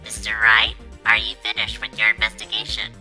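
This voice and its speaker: A woman voices an eager young helper from a game fandub. She sounds shrill and chirpy, swooping through a huge range. Her very high voice has a bright, gravelly edge. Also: huge pitch swings, brisk, soft background music, exaggerated voice. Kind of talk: amateur game fandub acting.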